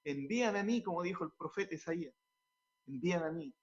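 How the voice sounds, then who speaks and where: Earnest pastor with a halting delivery. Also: male voice, fairly high pitch, expressive, muffled recording. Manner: earnest, halting with repeated words. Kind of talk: interview answers, turning preachy.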